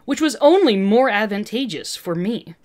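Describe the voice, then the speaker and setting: A woman's voice: mid-range voice, animated, moderate pace, a little mellow, lightly acted. A woman voicing an arrogant, agitated woman scientist villain with an intense, condescending manner in a fan fiction reading. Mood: smug explaining.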